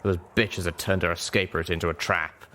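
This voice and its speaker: A man's voice: low voice, flat delivery, fairly quick, bright, forceful tone. Man as hard-bitten griffin soldier of fortune, sardonic and blunt, audiobook role. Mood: bitter.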